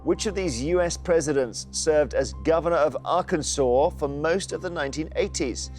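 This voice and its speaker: Man, quiz host, playful, a bit high-pitched. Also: moderate pace, darkish tone, faint music behind. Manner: playful, chuckling banter with contestants. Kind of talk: TV quiz hosting, scripted links and banter.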